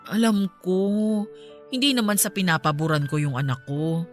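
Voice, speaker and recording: A woman's voice: lowish voice, steady tone, moderate pace, lightly acted. A woman playing a hot-headed, protective middle-aged mother in a radio drama, with a Filipino accent and an emotional, emphatic delivery. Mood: remorseful, pleading. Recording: music behind the voice. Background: background music.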